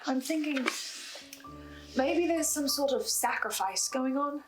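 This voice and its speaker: A woman's voice: high voice, a bit bright, a little hiss or hum, rough recording. A woman presenting a scripted recap of a horror story, adding her own theories and asides. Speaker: host of a recap and theory video on a horror podcast.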